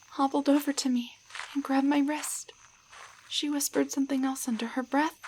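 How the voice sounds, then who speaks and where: A woman playing a timid young waitress shrunk into a doll, in a roleplay audio drama, speaking in a halting, stammering way. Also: high voice, slight background noise, poor audio. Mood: fearful, sad.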